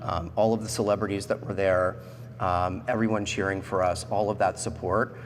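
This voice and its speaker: A man's voice: low-pitched, steady tone, normal speed. A man with an American accent telling personal stories as an interview guest. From central Pennsylvania, United States. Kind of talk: personal storytelling in a talk show interview. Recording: echoey hall, background noise.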